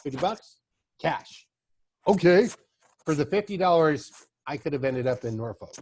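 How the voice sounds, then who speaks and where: A man's voice: on the high side, animated, moderate pace, darkish tone. A middle-aged man hosting a podcast, chatting casually and telling loose stories with asides. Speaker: podcast host. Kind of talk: casual conversation.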